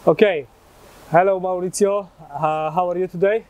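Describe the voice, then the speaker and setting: A man's voice: high voice, fairly even intonation, moderate pace, a little mellow, lo-fi sound. A man interviewing a new staff member, speaking hesitantly with frequent fillers. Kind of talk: interview questions.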